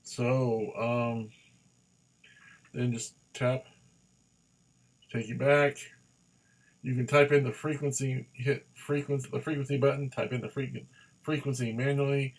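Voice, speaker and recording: Man giving a halting, casual gadget review. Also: medium pitch, flat delivery, rough recording. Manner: halting, rambling, repeats himself. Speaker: product reviewer.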